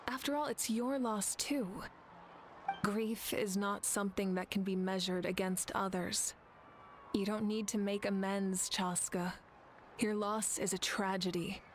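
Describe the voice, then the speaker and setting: A woman voicing a calm compassionate leader. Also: medium pitch, unhurried, slight background noise, natural voice. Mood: composed. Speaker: ruler addressing her people. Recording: with loud game music.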